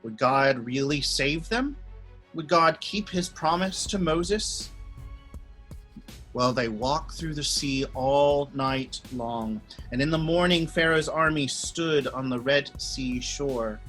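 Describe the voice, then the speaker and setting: A priest tells a children's Bible story in a friendly, expressive manner. His voice is bright and a little high, and he takes his time. Soft music plays faintly in the background. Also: slow pace.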